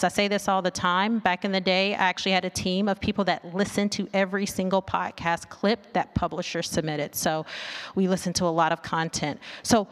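A woman giving an informal conference presentation in a conversational way, asking rhetorical questions and checking in with the listeners. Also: medium pitch. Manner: conversational, asks rhetorical questions, often adds "right?". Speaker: conference speaker, marketing research executive. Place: large indoor conference hall. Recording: long hall reverb from venue sound system.

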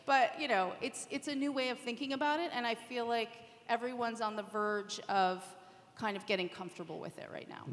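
A woman on a panel, speaking assuredly in deliberate, emphatic phrases. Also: a little high, fairly even intonation. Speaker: panelist from the podcast industry. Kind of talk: unscripted pitch-like panel talk. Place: indoor conference panel hall. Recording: reverberant hall.

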